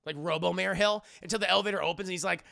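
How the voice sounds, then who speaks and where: A high-pitched male streamer with a very crackly, fry-heavy voice. He recaps a show excitedly for his chat, swearing freely and throwing in acted bits and sound effects. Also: very fast. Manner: excitable, profane, acts out characters and sound effects. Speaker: streamer and animation reviewer. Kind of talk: lively scene-by-scene recap for a live chat.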